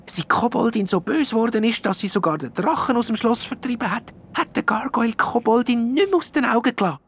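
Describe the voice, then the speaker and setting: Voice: mid-range voice, animated, a little fast, fairly bright tone, slight background noise, pitched above the performer's own voice. A storyteller voicing a shy purple elf who explains things hesitantly but eagerly.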